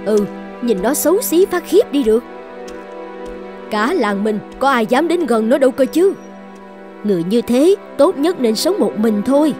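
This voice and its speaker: A woman voicing a scornful village gossip in an animated folktale. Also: high voice, moderate pace, pauses often. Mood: disdainful. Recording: music bed under the voice.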